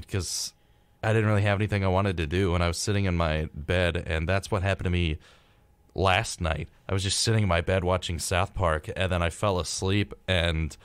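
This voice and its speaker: Young man, American accent, lower-pitched voice, casual radio host, laid-back, close dry sound. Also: clear, resonant tone, no room sound. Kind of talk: casual conversational radio talk. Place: radio studio.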